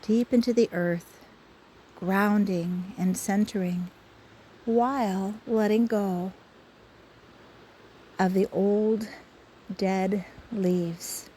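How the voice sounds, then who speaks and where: A woman leading a guided imagery session in a soothing, measured way with frequent pauses, recorded outdoors on a phone. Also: medium pitch, steady tone, mellow. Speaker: guided imagery host and soul coach. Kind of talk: guided meditation talk. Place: outdoors by a river. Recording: faint outdoor ambient noise. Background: faint river ambience.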